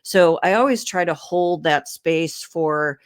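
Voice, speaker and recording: A woman speaking as a podcast guest, answering in a calm, earnest, reflective way. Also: sits low, slow, clean tone.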